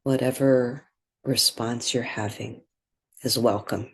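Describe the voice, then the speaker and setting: Slow, husky guided meditation voice. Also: medium pitch, fairly even intonation. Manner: gentle, measured, with long pauses between phrases. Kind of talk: guided meditation, soothing spoken instruction.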